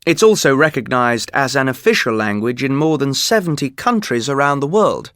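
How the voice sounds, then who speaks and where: Measured male textbook narrator. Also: mid-range voice, dry recording, studio quality. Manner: steady, measured, explanatory. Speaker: textbook audio reader. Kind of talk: scripted educational narration.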